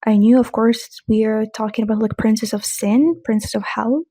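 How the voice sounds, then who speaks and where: A woman's voice: on the high side, fairly even intonation, moderate pace, mellow, husky, dry recording. A young woman, for whom English is a third language, chatting casually and gushingly about books in a reading vlog. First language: not English; English is her third language. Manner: chatty, gushing, restarts often. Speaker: book vlogger.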